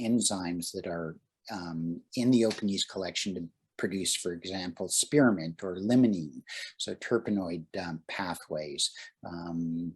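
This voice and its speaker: Husky, hesitant man on a video call. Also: low-pitched. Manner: hesitant, many fillers and restarts. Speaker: workshop presenter. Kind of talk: casual online talk.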